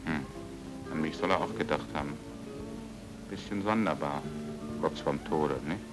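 A man's voice: extremely low pitch, normal speed, poor audio. An actor playing a dogged, plain-spoken man investigating his friend's death in an old German radio drama, terse and probing. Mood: probing, suspicious. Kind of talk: 1950s-60s German radio drama acting. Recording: old radio play with music.

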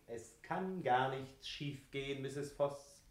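An actor playing a self-satisfied private detective in a sixties radio drama, drawling with condescending politeness. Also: male voice, mid-range voice, takes it slowly, noisy background, lightly acted. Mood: reassuring, boastful. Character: smug, suavely reassuring male private detective. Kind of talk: 1960s German radio drama acting.

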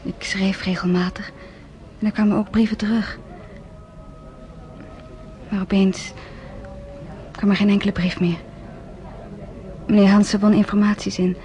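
A woman's voice: medium pitch, flat delivery, measured pace, long pauses, dark tone, slight background noise, natural voice. A woman playing an earnest teenage girl. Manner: earnest, hesitant, stumbling over words. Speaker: radio drama actress. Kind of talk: radio play narration and acting.

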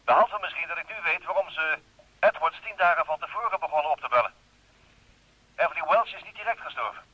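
An actor playing a wry, bantering detective in a radio play, familiar and teasing in manner. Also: male voice, on the high side, quick pace, breathy edge, no echo. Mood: wry, businesslike. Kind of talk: Dutch radio play acting. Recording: dry, slightly dull radio play recording.

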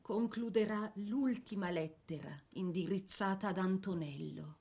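Woman doing serious literary narration for a radio play, middle pitch, measured and solemn. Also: warm tone, slightly rough. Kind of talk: scripted dramatic narration.

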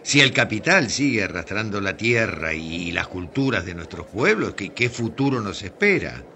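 Animated, stammering Argentine theatre director. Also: male voice, on the low side, normal speed, heavily acted. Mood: solemn, earnest. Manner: stammering, solemn declamatory speech. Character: earnest theatre company director, flustered and stammering. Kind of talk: radio drama acting. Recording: faint background noise.

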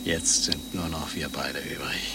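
An actor playing a cool, terse professional agent in a satirical radio play, commanding and deadpan. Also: male voice, very deep voice, a little slow, gravelly, heavy background noise, full character voice. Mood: menacing, deadpan. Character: cool hard-boiled male professional agent called Wolf.

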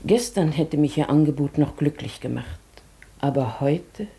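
A woman playing a dignified, wistful hotel guest in a German radio drama, with a soft, often breathy voice and a formal, measured manner. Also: low-pitched, expressive, full character voice. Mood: resolute, calm. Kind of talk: German radio play acting.

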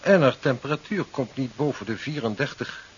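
An actor playing a cautious, formal hospital doctor in a 1970s Dutch radio drama, measured and polite. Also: male voice, medium pitch, animated, lightly acted. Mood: cautious, concerned. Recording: dull, hissy 1970s radio recording.

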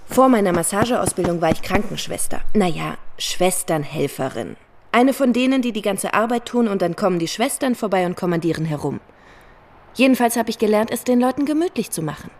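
An actress playing a brash, talkative masseuse in a radio play, breezy and bossy, with playful, flirtatious teasing. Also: female voice, medium pitch, expressive, brisk, darkish tone. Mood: brisk, bossy.

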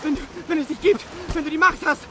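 A man's voice: very high-pitched, steady tone, quick pace, crowd noise. An actor in a radio play playing an unstable, imperious mad king who stammers and pleads desperately. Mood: desperate, pleading.

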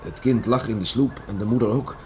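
A man's voice: medium pitch, fairly quick, darkish tone, lightly acted. An actor playing an earnest, emphatic beachcomber and father in a Dutch radio drama. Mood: grave. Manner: emphatic, repeating himself. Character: earnest beachcomber father, rough and deeply moved. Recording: noisy old radio play recording.

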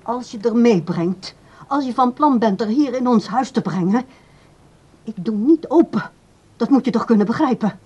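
An actress playing a controlling grown daughter in a radio drama, speaking in a brisk, formal, placating way. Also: female voice, fairly high pitch, expressive, a little mellow, slightly rough, lo-fi sound. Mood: firm. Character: polite but evasive, controlling adult daughter.

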